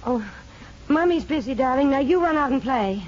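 Anxious, high-voiced young wife. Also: female voice, expressive, moderate pace. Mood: strained sweetness. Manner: hesitant, pleading. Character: anxious, earnest young wife with a hidden past. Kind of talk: 1950s radio drama acting. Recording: dull, noisy 1950s radio recording.

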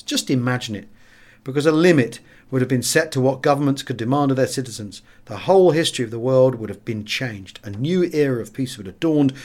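Expressive English male audiobook reader. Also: medium pitch. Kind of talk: expressive memoir narration.

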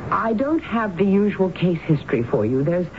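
An actress playing a desperate, distraught married woman in a radio drama, pleading in halting, broken starts. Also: on the low side, big pitch swings. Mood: distraught, agitated. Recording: muffled phone-like old radio broadcast, loud noise.

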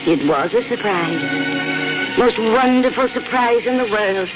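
A woman's voice: on the high side, animated, slow. An actress playing a tender, doting elderly mother in a sentimental 1940s radio drama style. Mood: tender, soothing. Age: older. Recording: muffled 1940s radio broadcast, loud music.

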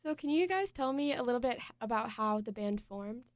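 A woman's voice: on the high side, lively intonation, brisk, dry recording. A woman hosting a college radio interview, asking questions of her guests.

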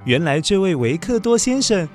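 Gentle male children's storyteller. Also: sits high, big pitch swings, normal speed, faint music behind. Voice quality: gentle, addresses young listeners. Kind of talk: scripted children's story narration.

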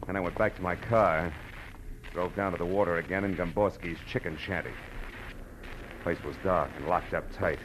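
An American actor playing a tough, wry private detective in a 1940s-style radio drama, with clipped, sardonic, slangy delivery. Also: male voice, deep voice, normal speed, close to an everyday voice. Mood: tense, dry narration. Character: tough, wry male private detective narrating his case. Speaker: radio actor, lead and narrator. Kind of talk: hard-boiled 1940s radio drama acting. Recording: noisy vintage radio recording.

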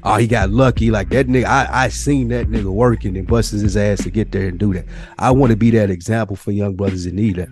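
A man's voice: on the low side, fairly even intonation, fast talker, darkish tone, faint music behind. An American man co-hosting a podcast, talking in an earnest, motivational way with casual, slangy phrasing. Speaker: podcast co-host.

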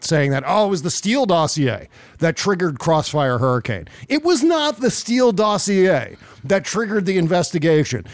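A man's voice: a little high, dry recording. A man hosting casual political commentary in a sarcastic, exasperated and profane way. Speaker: podcast host.

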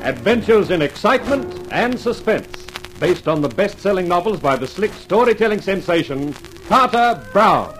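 A man's voice: sits high, sing-song, normal speed, music in the background, poor audio. A man hosting a 1950s radio mystery programme, introducing and interviewing in a polished, formal showman's manner. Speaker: radio announcer and host.

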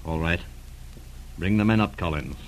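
An actor playing a brisk, decisive naval commander who gives clipped, authoritative orders in an old-time radio drama. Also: male voice, deep voice, natural voice. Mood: businesslike. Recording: noisy 1940s radio recording.

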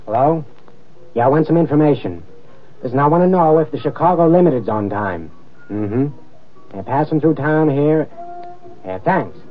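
Actor as a cold gangster boss, muffled radio. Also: male voice, on the high side, expressive, moderate pace, long pauses, close to an everyday voice. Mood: menacing. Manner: clipped, sardonic. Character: cold, menacing gangster boss called the Colonel. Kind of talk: 1950s radio drama acting. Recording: muffled old radio recording with music.

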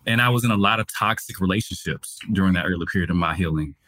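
Young guy in his 20s, real deep voice, dry close mic, open and reflective podcast host. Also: fast, bright, forceful tone, dry recording. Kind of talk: podcast conversation, personal sharing.

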